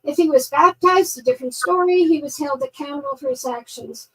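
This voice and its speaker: A woman's voice: high-pitched, a little fast. An older woman from New York with a New York accent, a guest activist talking in a folksy, chatty way with frequent restarts and fillers. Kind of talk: live online talk show, casual monologue. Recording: distant mic with faint background noise.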